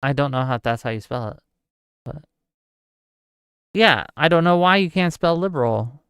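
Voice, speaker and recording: A man's voice: a little high, animated, normal speed, a bit bright, clean tone, no echo, clean studio sound. A man in his forties hosting a livestream, chatting casually about politics and reading out questions from his live chat. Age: 40s. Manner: conversational, answers chat questions, thinks aloud. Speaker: livestream host.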